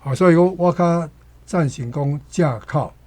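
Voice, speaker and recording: A man's voice: on the high side, normal speed, darkish tone. A man with a Taiwanese accent explaining things in unscripted discussion, repeating words as he works through a point.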